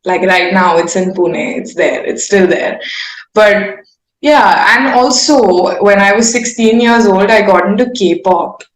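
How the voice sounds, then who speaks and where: A woman's voice: mid-range voice, slow pace. Echoey, effusive young woman, chatting. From India. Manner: informal, effusive, peppered with "like". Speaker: singer-songwriter guest. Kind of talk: candid casual interview chat. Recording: roomy, echoing sound.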